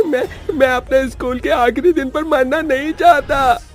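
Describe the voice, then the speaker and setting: A man voicing a scared, pleading schoolboy in an animated horror story. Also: extremely high pitch, measured pace, faint music behind. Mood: frightened. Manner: pleading, repeating himself in fright. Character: scared schoolboy trying to survive a haunted school.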